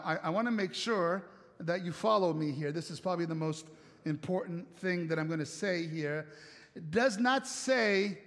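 Italian-accented man preaching with a high voice. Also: fairly bright tone. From Italy. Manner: conversational preaching with rhetorical questions and jokes. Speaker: pastor. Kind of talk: sermon. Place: church sanctuary stage. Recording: long church hall reverb.

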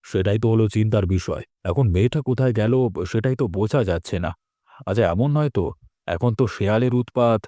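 An authoritative village bigwig in a cartoon tale, voiced by a man, speaks in a lowish, dark-toned voice. He questions briskly, with concern. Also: no echo, studio quality, lightly acted. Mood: pondering, reassuring. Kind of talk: cartoon dialogue acting.

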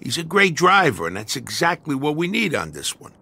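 A man's voice: fairly high pitch, sing-song, a little fast, pushed and bright, breathy edge, full character voice. An actor playing a brash young male gangster who talks in a cocky, crude way.

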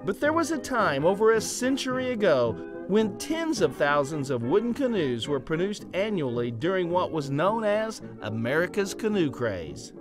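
A man's voice: high-pitched, very animated, moderate pace. A man reading scripted narration for a show with a storytelling lilt. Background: background music.

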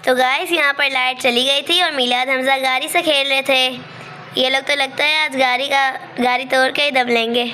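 A woman's voice: high voice, steady tone, normal speed, bright, forceful tone. A young woman narrating a vlog as a voice-over, on a noisy amateur phone recording. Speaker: vlogger.

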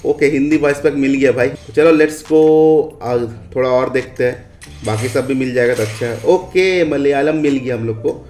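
An Indian man with a mid-pitched voice gives casual game commentary. He talks conversationally, as a host showing viewers around menus, and often tacks on short checking phrases. The recording has a roomy echo. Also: moderate pace, darkish tone, faint music behind. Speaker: gaming video creator and host. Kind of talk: casual screen-capture commentary on game updates.

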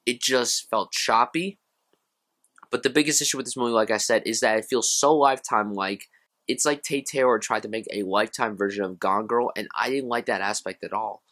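A man's voice: on the low side, fairly quick, fairly bright tone. A young American man of about college age, talking casually and conversationally to camera as an opinionated movie reviewer. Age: young adult, around college age. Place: small room at home, indoors.

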